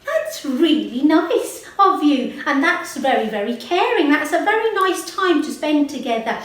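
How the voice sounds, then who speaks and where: A woman's voice: high-pitched, sing-song, measured pace, a bit bright, full character voice. A woman voicing a caring grown-up lady puppet, gushing with praise and prompting with questions in a children's show. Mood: encouraging. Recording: echoey room, distant microphone.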